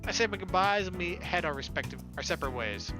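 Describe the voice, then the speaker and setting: A man's voice: sits high, expressive, fast, music in the background. A man reading visual novel text aloud as a let's play host, adding slangy, irreverent casual commentary.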